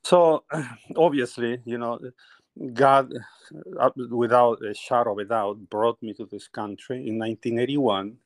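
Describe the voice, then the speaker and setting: A man's voice: mid-range voice, steady tone, measured pace, dry recording. A middle-aged man from Peru, a painter telling his life story as a guest in a conversational interview, often laughing as he talks.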